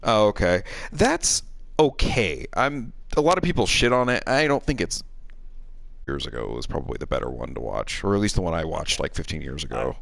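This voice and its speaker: A man's voice: sits low, big pitch swings, normal speed, gravelly, a bit bright. A man chatting casually on a game stream, hesitant and meandering in how he puts his thoughts. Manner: hesitant, meandering, hedging phrases.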